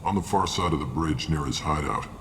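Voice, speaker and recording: A gruff mercenary logistics man from a video game. His voice sits extremely low as he lays out the job bluntly. Noticeable ambient noise runs behind him. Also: a little fast. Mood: weary, blunt. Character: gruff male mercenary logistics officer briefing freelancers. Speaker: mercenary briefing officer.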